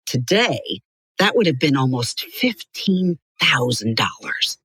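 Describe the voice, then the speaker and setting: A woman reading a scripted story for children in a measured, expressive storyteller's delivery. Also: a bass voice, husky, no echo. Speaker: podcast narrator. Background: music under the voice.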